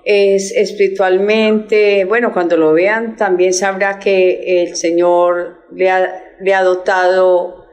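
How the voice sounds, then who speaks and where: A woman hosting a religious talk show, speaking in an effusive, admiring way with halting phrasing. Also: mid-range voice, little pitch movement, a little mellow. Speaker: host.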